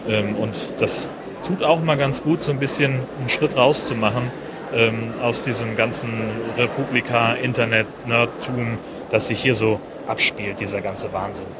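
A man's voice: medium pitch, fairly even intonation, a little slow. Guy rambling on his podcast, lots of ums, street noise behind him. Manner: chatty, many fillers and restarts. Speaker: podcast host. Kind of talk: casual solo podcast recap. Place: outdoors at a busy conference venue. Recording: noisy outdoor background. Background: crowd and traffic ambience.

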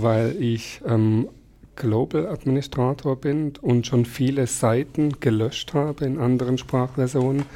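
A man in his forties answers interview questions in a measured, explanatory way, pausing often to find his words. His voice is mellow and warm rather than bright. His first language is Alemannic. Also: medium pitch. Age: 40s. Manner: measured, explanatory, frequent filler pauses. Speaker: podcast guest. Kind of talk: conversational interview.

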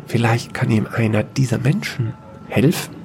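A man's voice: mid-range voice, normal speed, gravelly, noisy background. A man reading scripted narration for a science-fiction fairy tale audio story.

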